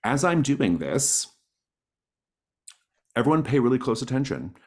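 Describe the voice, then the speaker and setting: A man's voice: mid-range voice, very animated, a little slow. A man speaking as game master of a tabletop roleplaying game on an online call, in an encouraging, accommodating manner. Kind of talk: casual explanation of a tabletop game. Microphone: online group call.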